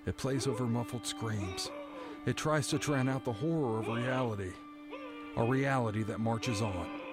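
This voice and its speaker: A man's voice: on the low side, close to an everyday voice. An actor playing a dread-filled prisoner awaiting his doom, delivering a brooding first-person monologue in an audio drama. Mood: haunted. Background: background music.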